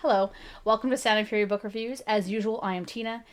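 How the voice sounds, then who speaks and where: A Canadian woman in her thirties with a slightly gravelly voice, hosting a casual book review channel, chatty and self-deprecating. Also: medium pitch, fairly even intonation, fast. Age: 30s. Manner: chatty and self-deprecating, with frequent laughs and restarts.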